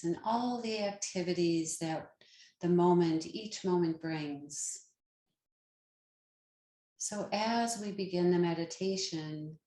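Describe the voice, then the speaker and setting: A woman with a slightly low voice guides a meditation in a very slow, unhurried way. She is calm and gentle, leaving long pauses between phrases. The sound is roomy, with a clear echo around her words. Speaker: meditation guide, yoga teacher.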